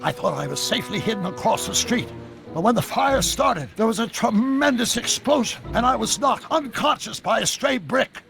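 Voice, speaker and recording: Eccentric old American scientist, gravelly, high-pitched, theatrical, wordy, video game dialogue over music. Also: male voice, lively intonation, moderate pace, exaggerated voice. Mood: dramatic recounting. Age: older. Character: eccentric, excitable old male scientist stuck in jail.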